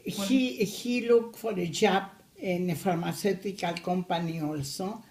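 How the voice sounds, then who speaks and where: Elderly Argentine-accented grandmother in her eighties, unhurried, halting delivery. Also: fairly low pitch, darkish tone. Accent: Argentine Spanish. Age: 80s. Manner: slow, hesitant, many pauses. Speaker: grandmother interviewee. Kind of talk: recorded family interview.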